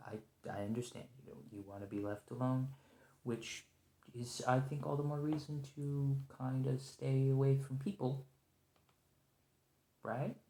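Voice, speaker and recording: In a roomy, echoing space, a man plays a nervous agent of a secret organization in an ASMR roleplay. The voice is soft-edged and dark, with a gravelly fry, and it moves haltingly and politely, always trying to smooth things over. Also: medium pitch, fairly even intonation, close to an everyday voice. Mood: resigned, earnest. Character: nervous, conciliatory male agent of a secret organization negotiating with a creature. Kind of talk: ASMR roleplay, improvised one-sided conversation.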